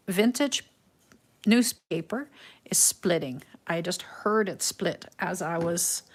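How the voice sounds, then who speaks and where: A middle-aged Dutch-accented woman with a lowish voice talks viewers through a craft project live. She speaks slowly and musingly, often pausing on an um while she works. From Netherlands. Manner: unhurried, musing, frequent ums. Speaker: craft livestream host.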